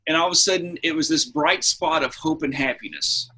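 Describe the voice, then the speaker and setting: A man's voice: a little high, fairly quick, sharp tone, husky, low-quality recording. A man hosting a casual pop culture podcast, speaking in an emphatic, opinionated way and swearing freely. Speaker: podcast host.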